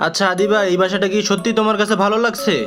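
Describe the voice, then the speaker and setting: A bossy family man in a cartoon, acted by a man, hurrying everyone along in a high, quick voice. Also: little pitch movement, over music, natural voice. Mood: matter-of-fact. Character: bossy, hurried father and husband. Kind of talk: amateur animated cartoon dub.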